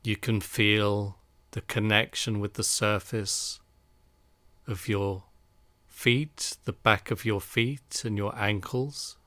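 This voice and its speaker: A man leads a guided meditation in a low, smooth voice. He speaks calmly and soothingly, taking his time between phrases. The sound is dry and close, with very slow delivery. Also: no room sound. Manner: calm, soothing, unhurried with long pauses.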